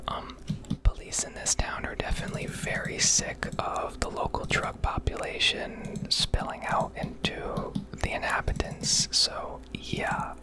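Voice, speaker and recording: An American woman whispering a casual, rambling ASMR commentary over gameplay, swearing freely. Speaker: video creator narrating gameplay.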